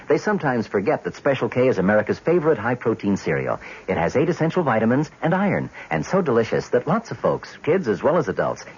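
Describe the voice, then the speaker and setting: A man's voice: medium pitch, breathy edge. A man reading a radio commercial in a friendly, conversational way. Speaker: commercial announcer. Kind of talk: radio advertisement. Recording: dull lo-fi vintage radio broadcast.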